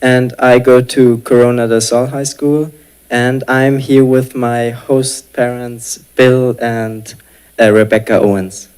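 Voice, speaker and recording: Young guy, smooth voice, polite, little pauses. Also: mid-range voice, little pitch movement, darkish tone, low-quality recording. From Austria. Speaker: exchange student.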